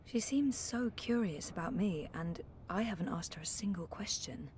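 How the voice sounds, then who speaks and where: A woman playing a young video game heroine's inner thoughts, hushed, reflective and musing. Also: mid-range voice, animated, moderate pace, natural voice. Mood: pensive. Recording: faint background noise.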